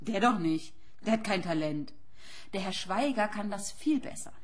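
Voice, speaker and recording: An actress playing a snippy, self-interested young hotel receptionist in a radio play. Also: female voice, mid-range voice, animated, moderate pace, close to an everyday voice. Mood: dismissive. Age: young adult.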